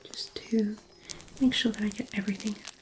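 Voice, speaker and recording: A woman playing a gentle, kindly forest fairy in a soothing fantasy ASMR roleplay, murmuring softly and reassuringly. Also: mid-range voice, steady tone, brisk, slight background noise, lightly acted, low-quality recording. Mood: soothing, fond.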